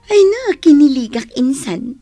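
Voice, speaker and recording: Actress as gushy playful girl cousin, radio drama, highish voice all over the place. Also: very animated, a little slow, warm tone, no echo. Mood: giddy, teasing. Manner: gushing, playful. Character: giddy, teasing young female cousin. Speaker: voice actor in Ilocano radio drama.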